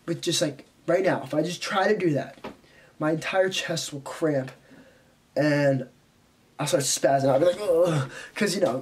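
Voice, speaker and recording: A young man with a head cold talks straight into a close camera in a small bedroom. His stuffy, congested voice sits slightly high, and he rambles casually, jumping between asides. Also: slight background noise. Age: young adult. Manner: rambling, chatty, quick asides. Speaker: vlogger. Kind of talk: casual vlog talk to camera. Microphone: camera in front at close range.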